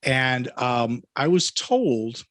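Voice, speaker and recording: A man hosting a podcast interview, friendly and self-deprecating, clearing his throat now and then. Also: mid-range voice, animated, drawn-out words, a bit bright, no room sound, clean studio sound. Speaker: podcast host. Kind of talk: casual interview chat.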